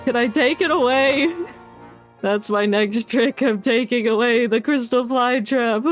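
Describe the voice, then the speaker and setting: A woman's voice: on the high side, a little slow. A woman streamer giving casual, joking game commentary, playfully talking back at what she is playing. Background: game music.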